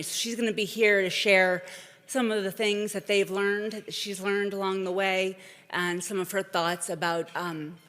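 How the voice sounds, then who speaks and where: A woman giving welcome remarks as an event host, speaking in a halting way to a live audience. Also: fairly low pitch, fairly bright tone. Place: large indoor event hall, on stage. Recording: echoey hall with long reverb.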